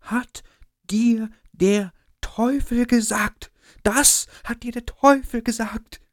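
A man voicing a greedy, spiteful little imp in a storybook reading, with a high, slow, taunting delivery. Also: steady tone, no echo, studio quality. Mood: furious. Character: spiteful tiny male imp, shrill and greedy. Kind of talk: audiobook reading of a fairy tale.

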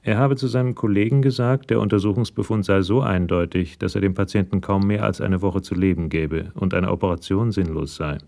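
An actor narrating a radio crime story in a measured, matter-of-fact way. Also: male voice, low-pitched, steady tone, gravelly, darkish tone. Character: sober male narrator of a police crime story.

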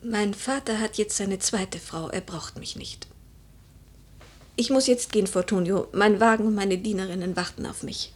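An actress playing a proud, grieving young widow of noble birth in a literary radio drama, with a breathy, solemn delivery that often drops toward a whisper. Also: female voice, mid-range voice, moderate pace, lightly acted. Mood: proud, cool.

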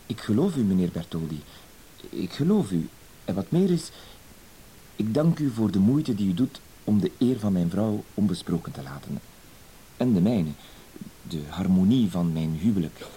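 An actor playing a meek, apologetic deceived husband in a radio play, stammering politely and putting himself down. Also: male voice, deep voice, animated, normal speed, mellow, low-quality recording. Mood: earnest, flustered. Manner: hesitant, stammering, polite, self-deprecating. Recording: hissy old radio play recording.

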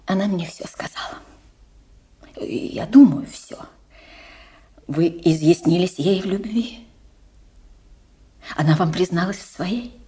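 An actress playing an anxious, dignified middle-aged princess and mother in a radio play, with halting, breathy delivery and emphatic pauses. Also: lowish voice, dark tone. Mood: pained, earnest.

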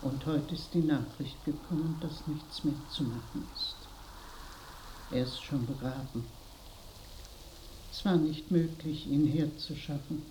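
A sombre woman bringing grave news in a radio play. Her tone is mellow and her delivery plain and solemn. Faint noise sits behind her. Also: low-pitched, poor audio. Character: sombre bearer of death news to Susanna. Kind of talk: radio drama acting.

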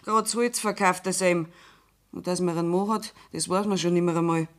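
A woman's voice: lowish voice, fairly even intonation, a little fast, lightly acted. A woman with a Bavarian accent playing a farmer's wife who complains about her absent husband in a 1960s radio play. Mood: complaining.

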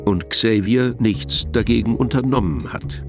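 A male narrator of a radio play with a lowish voice and noticeable creak. His delivery is measured and matter-of-fact. Guitar music plays clearly behind him. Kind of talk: scripted narration in a radio drama.

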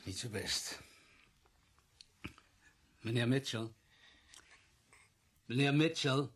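An actor in a radio play playing a composed male doctor with a husky voice, soothing and matter-of-fact. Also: medium pitch, drawn-out words. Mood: calm, firm. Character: composed male doctor attending a gravely wounded patient.

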